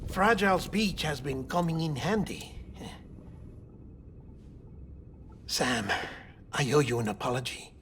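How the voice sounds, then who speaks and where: An actor playing a calm, talkative artificial man built from cadaver parts, speaking in a measured, confiding way in a game cutscene. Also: on the high side, slight background noise. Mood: gentle. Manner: measured, slow, confiding delivery. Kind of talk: video game cutscene acting. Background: game score music.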